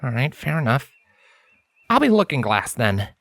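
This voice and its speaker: Man voicing a lighter-pitched, earnest scholar stallion. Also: big pitch swings, normal speed, gravelly, fairly bright tone, no echo. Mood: thoughtful. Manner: polite, matter-of-fact. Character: earnest, calm, scholarly male pony. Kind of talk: audiobook character voice.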